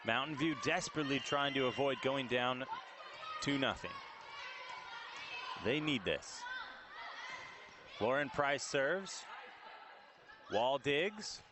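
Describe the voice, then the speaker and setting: A man's voice: mid-range voice, expressive, slow, pauses often. Man calling volleyball over gym crowd noise. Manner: clipped phrases, rising to shouts on big plays. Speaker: play-by-play sports commentator. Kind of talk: live volleyball commentary. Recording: noisy gym with crowd behind. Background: crowd noise and ball bounces in a gym.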